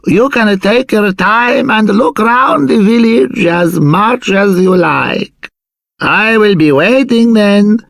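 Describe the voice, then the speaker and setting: A solemn, pious leader of a game village. His voice is high and creaky, and he speaks slowly, with formal courtesy. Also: fairly bright tone, no echo, heavily acted. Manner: formal, measured.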